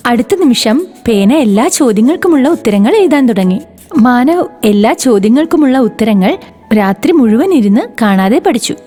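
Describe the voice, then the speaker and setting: A woman's voice: a little high, darkish tone. A woman narrating a scripted children's moral story.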